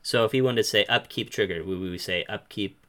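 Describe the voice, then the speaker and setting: A man's voice: fairly low pitch, a little fast, a bit bright. A man hosting a casual, instructional discussion, asking questions and explaining terms step by step. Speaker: host leading the discussion.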